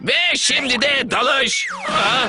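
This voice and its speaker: A scatterbrained rooster from a cartoon, speaks in a very high voice. He is boastful and chatty, confident while making little sense. Music plays clearly behind him. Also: lively intonation, takes it slowly, full character voice. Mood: cheerfully deluded. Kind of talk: animated cartoon dub acting.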